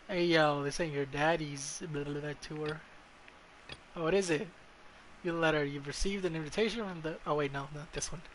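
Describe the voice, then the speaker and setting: A male streamer chats casually over a game in a bright, fairly high voice. He is playful and swears freely, with excitable asides and faint noise behind him. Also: poor audio. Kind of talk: casual live game commentary.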